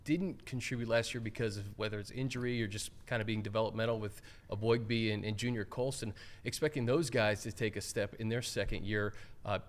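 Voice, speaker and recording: A man's voice: lowish voice, fairly even intonation, a little fast, hardly any pauses. An American man hosting a conversational sports interview show.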